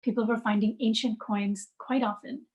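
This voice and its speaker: A woman in her forties from India, a guest in an interview, telling stories in a thoughtful, unhurried way. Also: on the high side, steady tone, a little mellow, muffled recording. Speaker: guest, archive founder. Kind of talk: interview answers, reminiscing.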